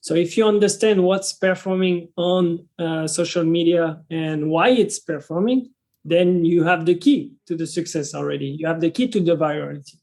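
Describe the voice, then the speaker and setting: French-accented young male guest, higher-pitched voice, searches for words as he talks. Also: a little mellow. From France. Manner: halting, restarts sentences often. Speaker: guest, travel content creator. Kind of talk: casual interview conversation.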